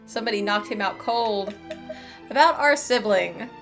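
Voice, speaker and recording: A woman's voice: mid-range voice, normal speed, creaky, a bit bright. A woman streamer giving casual, playful commentary as she plays through a game, in an informal way. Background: game music.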